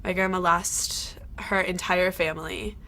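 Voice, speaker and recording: A teenage girl speaking in a soft, thoughtful way in an interview. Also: on the low side, little pitch movement, a little slow, a little hiss or hum. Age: teens. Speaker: student filmmaker. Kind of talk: reflective interview.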